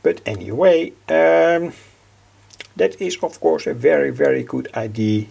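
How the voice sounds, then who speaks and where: An older man giving an informal technical explanation as a hobbyist presenter, halting and repeating his phrases. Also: a little high, expressive, a little mellow. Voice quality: gravelly, husky.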